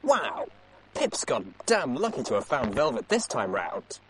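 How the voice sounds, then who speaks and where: A man's voice: medium pitch, wildly swooping pitch, a little slow, background noise. A man hosting a show, talking in a casual, slangy and colloquial way. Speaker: host. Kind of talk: casual show sign-off and commentary.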